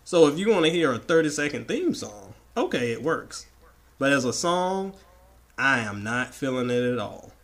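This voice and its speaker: Slightly raspy, playful male podcast co-host. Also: medium pitch, very animated, normal speed, fairly bright tone. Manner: playful, emphatic, repeats words for effect. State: getting over the flu. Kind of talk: casual opinionated banter.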